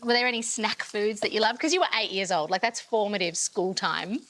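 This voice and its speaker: A woman's voice: mid-range voice, fairly quick, fairly bright tone. A woman hosting a casual cooking chat, asking curious, short questions. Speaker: host. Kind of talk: casual interview while cooking.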